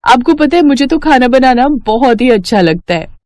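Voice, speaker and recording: A woman's voice: high-pitched, animated, moderate pace, darkish tone, slight background noise, voice pushed up. A woman voicing an eager young daughter-in-law who loves cooking, in a cartoon story. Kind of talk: Hindi cartoon story dialogue.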